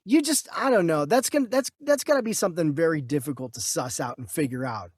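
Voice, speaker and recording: A man co-hosting a casual discussion, reasoning through his opinions in a halting way and repeating himself. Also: on the high side, animated, husky, no echo, studio quality. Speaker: co-host of a movie news show.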